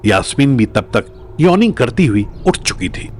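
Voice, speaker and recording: A man's voice: medium pitch, big pitch swings, moderate pace, slight background noise. A man narrating a scripted audio drama in a dramatic storytelling style.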